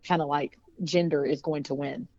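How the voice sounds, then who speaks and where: Low-voiced chatty woman. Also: fairly even intonation, normal speed, darkish tone, no echo, highs cut off. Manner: chatty, rambling, laughs often. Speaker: singer and band leader. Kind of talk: casual interview chat.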